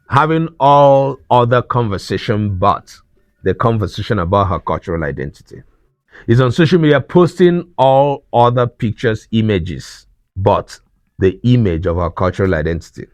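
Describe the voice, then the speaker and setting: A man with a Nigerian accent, a native Igbo speaker, giving an earnest talk on culture in slow, deliberate phrases that trail off. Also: medium pitch, no echo. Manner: slow, deliberate phrasing that trails off at sentence ends, with wry chuckles.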